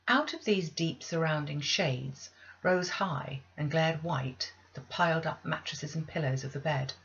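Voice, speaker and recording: A woman's voice: low voice, breathy edge, lo-fi sound. A woman reading a classic novel aloud as scripted literary audiobook narration. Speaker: audiobook reader.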